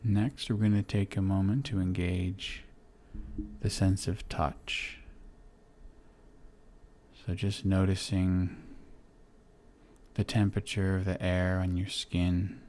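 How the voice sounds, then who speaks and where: A man leading a guided meditation in a gentle, soothing manner, with long pauses between short phrases. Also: sits low, little pitch movement. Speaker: guide of a relaxation exercise. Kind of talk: guided meditation, body scan.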